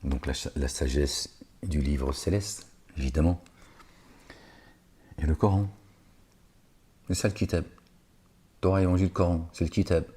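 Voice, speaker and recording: Brief: man, low dark voice, reads scripture and digresses, sarcastic, hesitant. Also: steady tone, unhurried, long pauses, husky. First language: not Arabic. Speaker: religious lecturer reading scripture. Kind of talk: scripture reading with spoken commentary.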